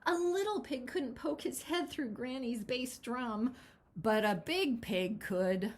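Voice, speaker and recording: A woman reading a picture book aloud as its author, with expressive, dramatic storytelling. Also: fairly high pitch, slow pace, slight background noise. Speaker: children's author reading their own book.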